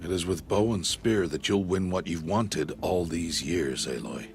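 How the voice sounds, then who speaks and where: An actor playing a stoic older outcast hunter, a gruff but tender fatherly mentor who speaks gravely and with restraint in a video game. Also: low-pitched, a little slow. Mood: patient, earnest. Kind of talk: dramatic video game acting.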